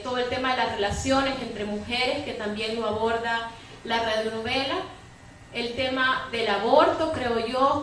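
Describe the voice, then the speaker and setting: A woman's voice: fairly high pitch, a bit bright. Lady explaining stuff on a panel, lots of tag questions, far from mic, bit of noise. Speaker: panelist, women's rights advocate. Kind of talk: extemporaneous panel commentary. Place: public debate room with audience. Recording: very echoey hall, distant mic, faint noise.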